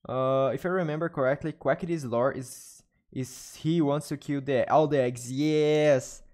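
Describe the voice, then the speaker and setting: A young man from Brazil with a Brazilian Portuguese accent, chatting with viewers in halting second-language English while gaming on a live stream. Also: a little high, steady tone, darkish tone. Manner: halting non-native English, restarts and searches for words. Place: small room at a desk. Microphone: studio mic on arm, headphones.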